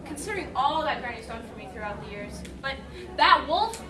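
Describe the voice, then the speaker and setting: A woman playing a plucky, wary young fairy-tale girl, girlish and expressive in a stage comedy. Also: high-pitched, brisk. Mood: indignant. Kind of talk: youth stage comedy acting. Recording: echoey hall from far off, audience noise.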